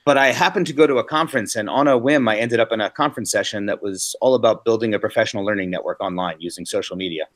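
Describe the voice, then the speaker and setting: A man's voice: fairly low pitch, fast, few pauses, fairly bright tone, no echo. An American man in his forties, a science teacher, answering interview questions fluently and with self-deprecating reflection. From United States. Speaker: guest teacher.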